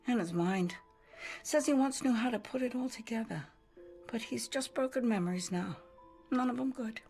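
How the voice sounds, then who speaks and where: A woman's voice: medium pitch, a little mellow, lightly acted. An actress playing a weary older widow, haunted and grieving, telling her story in brooding fragments for a video game cutscene. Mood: ominous, weary.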